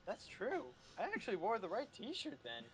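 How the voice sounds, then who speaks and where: A man's voice: high-pitched, huge pitch swings, normal speed. A man in comic podcast banter, theatrical and exclamatory as a panelist. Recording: faint background noise.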